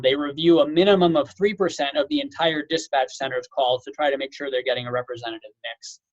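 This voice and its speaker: A man's voice: on the high side, dry recording, dull audio. A man giving an informal webinar lecture as a physician, explaining things conversationally with casual asides. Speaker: physician lecturer, medical director.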